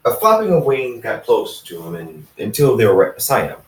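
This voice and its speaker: A man reading fan fiction aloud as an amateur narrator, halting and often going back to repeat phrases. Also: medium pitch, wildly swooping pitch. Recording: roomy echo, distant from the mic.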